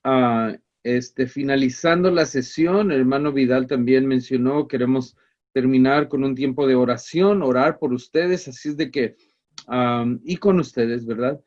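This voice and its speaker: A man informally moderating a question session, halting as he searches for his words. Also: medium pitch, a little mellow, dull audio.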